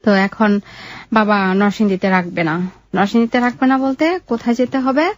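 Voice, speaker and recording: A young woman with a Bangladeshi accent telling her life story in an interview, in a halting, colloquial way. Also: mid-range voice, dry recording, highs cut off.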